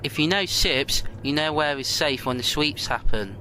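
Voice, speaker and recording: A man's voice: fairly high pitch, moderate pace, sharp tone, slight background noise. A man playing a sullen, earnest sixteen-year-old runaway in a science fiction audio drama, speaking in short, guarded answers.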